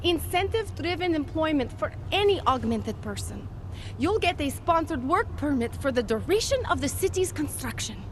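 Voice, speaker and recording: Game role: female company rep, earnest and persuasive, high register, lively swooping intonation, true-believer polish. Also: normal speed, a little hiss or hum. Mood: earnest, inspiring. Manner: fervent, polished sales pitch delivery. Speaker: company representative. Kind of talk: video game dialogue acting.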